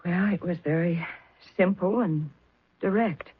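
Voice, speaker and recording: An actress playing a composed, formal widow who speaks in a measured, cool way, in a 1970s radio drama. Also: female voice, fairly low pitch, slightly rough. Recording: tinny old radio broadcast.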